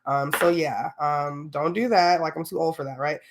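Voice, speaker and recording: A woman's voice: low-pitched, fairly even intonation, moderate pace. A young adult woman hosting a solo podcast, talking casually and candidly with lots of fillers and slang, a little sniffly from a cold. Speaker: podcast host.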